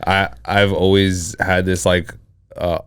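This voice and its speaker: A man's voice: low voice, flat delivery, slow, fairly bright tone, smooth, dry recording. A man in his thirties from Zambia, a guest chatting casually and reflectively in an interview, with plenty of fillers and restarts. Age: 30s. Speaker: guest, coffee shop owner.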